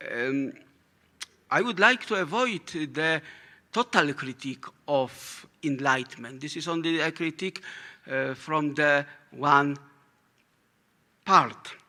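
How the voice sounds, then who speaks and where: A man's voice: mid-range voice, bright, forceful tone. A man from Poland with a Polish accent, a native Polish speaker, reading a prepared academic lecture in English with occasional slips and self-corrections.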